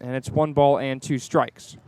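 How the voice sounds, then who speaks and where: A man's voice: mid-range voice, steady tone, a little slow, a little mellow, clear, resonant tone. A man calling live baseball play-by-play on the radio from the ballpark. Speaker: play-by-play sports announcer. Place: outdoors at a baseball stadium.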